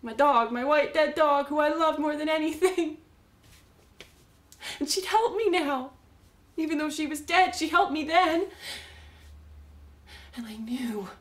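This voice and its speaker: A woman performing a theatrical monologue as an unstable, self-loathing young woman, confessing in a halting, volatile way. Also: mid-range voice, lightly acted. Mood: haunted, hushed. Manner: halting, stammering confession swinging between whispers and cries.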